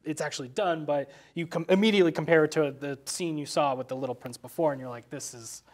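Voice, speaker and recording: A man's voice: on the high side, fast talker, a little mellow. A man co-hosting a podcast, casually discussing a film and reworking his phrases as he thinks. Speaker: podcast co-host.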